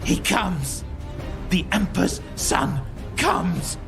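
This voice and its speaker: Man acting dying young soldier, devout, halting, strained, higher than the narrator, very slow, audio story. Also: lively intonation, creaky, music in the background, full character voice. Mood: dying, awed. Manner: strained, halting final words.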